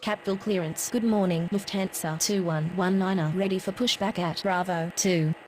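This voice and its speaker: A woman's voice: on the low side, fairly even intonation, normal speed, a little hiss or hum, lightly acted, low-quality recording. A woman playing a businesslike airport radio operator in a simulator game, with clipped, formal, scripted phraseology. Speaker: air traffic radio voice.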